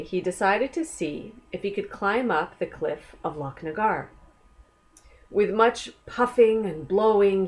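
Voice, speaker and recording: A woman reading a children's book aloud as a storyteller, gently inviting young listeners along with questions. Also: mid-range voice, low-quality recording.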